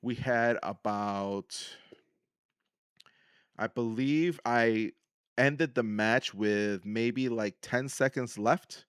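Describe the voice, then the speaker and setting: A man's voice: medium pitch, extremely slow, a bit bright, clear, resonant tone, no room sound, studio quality. A man hosting a podcast, casually recapping his hobby in a solo talk. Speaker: podcast host. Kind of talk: casual solo recap of card game decks and matches.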